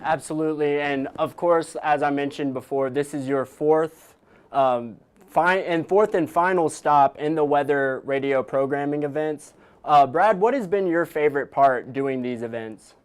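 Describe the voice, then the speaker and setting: A man's voice: fairly high pitch, normal speed, clear, resonant tone. A man hosting a conversational live news interview as a digital presenter.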